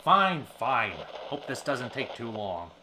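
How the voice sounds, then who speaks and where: A man playing a short-tempered, wisecracking talk show host in an old-time radio drama, fast-talking in theatrical period slang. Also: medium pitch, sing-song, noisy background. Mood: polished, irritated.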